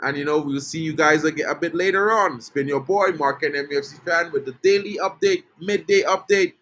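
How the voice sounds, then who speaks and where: A man's voice: fairly high pitch, brisk, a bit bright, a little hiss or hum. A man from Trinidad, hosting a casual live-stream football fan update, chatty and greeting his viewers. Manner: chatty, greets chat viewers, says bro a lot. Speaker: football fan channel host.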